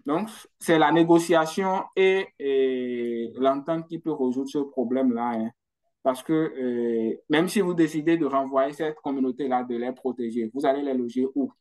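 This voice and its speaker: A man's voice: mid-range voice, moderate pace, a little mellow. A man presenting in an online talk, answering a question off the cuff, hesitant, with many restarts and the tag "hein". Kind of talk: spontaneous explanation.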